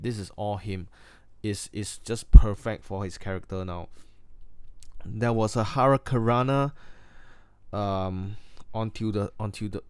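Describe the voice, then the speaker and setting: A man with a Singaporean accent talks in a loose, opinionated, sometimes crude way, stopping and restarting as he goes. His voice sits a little low and sounds smooth and clear. It is recorded close and dry, with almost no room sound. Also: darkish tone, dry recording. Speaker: wrestling review vlogger. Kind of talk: casual spoken review.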